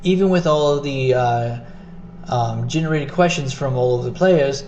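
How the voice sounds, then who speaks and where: A man casually talking to the camera in a vlog, rambling with many fillers and self-corrections, his voice getting hoarse. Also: medium pitch, normal speed, a little mellow, muffled recording, a little hiss or hum. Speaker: gaming video host. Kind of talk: casual unscripted vlog talk.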